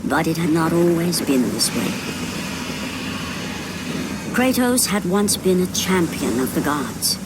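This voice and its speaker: A woman playing a solemn storyteller of Greek myth, narrating a video game in a grave, measured, portentous manner. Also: fairly low pitch, sing-song, heavy background noise. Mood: solemn, foreboding. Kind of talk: scripted dramatic narration.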